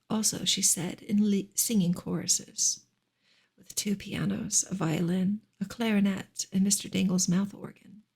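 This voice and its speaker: Soft breathy woman reading in ASMR style. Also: medium pitch. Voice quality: breathy, often slipping into whisper. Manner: gentle, hushed delivery. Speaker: narrator reading a novel aloud.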